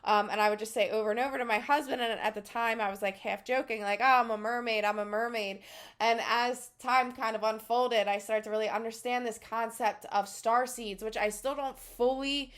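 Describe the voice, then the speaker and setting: A woman's voice: a little high, fairly even intonation, a little fast, fairly bright tone. A woman in her thirties with a Philadelphia accent, talking casually to camera as a spiritual channel, rambling with many fillers.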